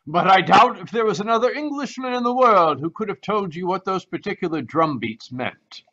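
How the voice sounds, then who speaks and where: A haunted military man from a vintage radio drama, performed by a man. He speaks in a fairly high voice with formal, excitable theatricality. The audio is dull and lo-fi, as from a video call. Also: moderate pace, full character voice. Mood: proud, cordial. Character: haunted, proud retired colonel, paranoid about witchcraft. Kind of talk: 1940s radio mystery acting.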